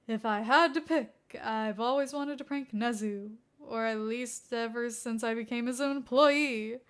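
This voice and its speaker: A woman's voice: on the high side, unhurried, clean tone, close to an everyday voice. A woman voicing a playful, nervous older male hero mentor in a fan fiction reading, hesitant and exclamatory by turns. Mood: sheepish. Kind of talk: acted fan fiction dialogue.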